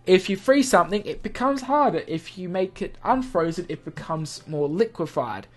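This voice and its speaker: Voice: medium pitch, animated, normal speed. A game player giving playful let's play commentary and expressively reading the on-screen script aloud. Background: game music.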